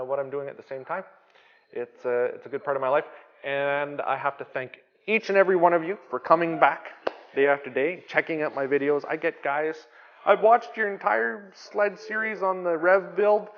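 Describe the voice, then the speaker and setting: A Canadian man hosting a casual vlog, answering viewer questions in a relaxed, chatty way with plenty of ums and uhs. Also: medium pitch, highs cut off. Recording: long reverb.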